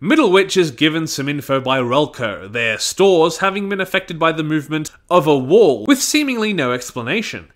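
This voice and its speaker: A man's voice: on the high side, very animated, moderate pace, few pauses. A man reading a scripted review of an audio drama in a casual, wry manner.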